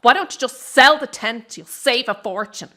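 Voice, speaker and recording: A woman acting in a radio drama as a bitter, defiant young circus performer, confrontational, sarcastic and quick to swear. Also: fairly high pitch, bright, forceful tone. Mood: bitter, furious. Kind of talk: dramatic radio play dialogue.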